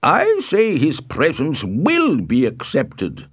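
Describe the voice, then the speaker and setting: A man's voice: fairly high pitch, huge pitch swings, slow, slightly rough, dry recording, studio quality, pitched above the performer's own voice, exaggerated voice. A man voicing an angry, commanding older nobleman in a storybook, scolding with authority.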